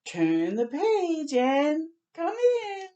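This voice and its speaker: A woman voicing welcoming storybook creatures that invite a child in, with drawn-out words. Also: high voice, wildly swooping pitch, mellow, lo-fi sound, voice pushed up, full character voice. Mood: inviting.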